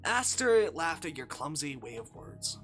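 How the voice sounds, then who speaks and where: A game streamer reads a story aloud in a mid-pitched voice. The delivery is informal, slipping between narration and personal asides. Music plays clearly behind the speech. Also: big pitch swings, unhurried, a bit bright. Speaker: streamer reading a visual novel aloud. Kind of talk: live read-aloud narration with casual asides.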